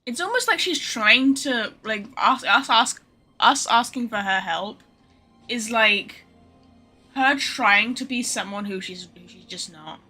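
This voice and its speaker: A woman's voice: fairly high pitch, animated, a little slow, pushed and bright. A young adult woman giving casual, informal livestream commentary while gaming. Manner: informal, sweary. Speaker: game streamer. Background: game music.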